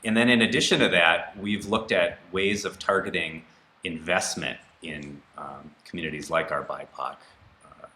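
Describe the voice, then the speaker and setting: Guy, really low voice but bright and forceful, answering at a candidate forum, roomy sound. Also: steady tone, normal speed. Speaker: city councilor and candidate. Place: indoor TV studio.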